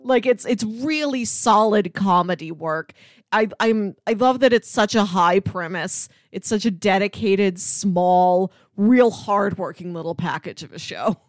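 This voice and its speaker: A woman's voice: mid-range voice, unhurried, no room sound, clean studio sound. A woman co-hosting a podcast, chatting casually as she reviews what she watched. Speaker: podcast co-host reviewing a TV show.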